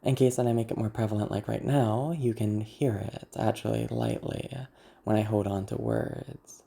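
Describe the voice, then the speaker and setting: A speaker with a naturally gravelly voice that slips into a soft growl as words are held, set in a dark, mellow tone. This ASMR creator talks straight to viewers in a candid, rambling, self-deprecating way, like a casual personal update. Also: mid-range voice, flat delivery, normal speed.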